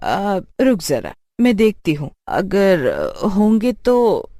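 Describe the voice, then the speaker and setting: A woman playing a caring, gently reassuring mother who is short of money, in a cartoon story. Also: medium pitch, wildly swooping pitch, takes it slowly, full character voice. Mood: caring, uneasy.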